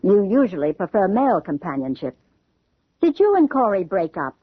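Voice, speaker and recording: A woman's voice: medium pitch, sing-song, normal speed, slightly rough, no echo. An actress playing an inquisitive, skeptical woman friend in a radio drama, probing in a conversational way. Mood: prying. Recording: thin phone-like vintage radio recording.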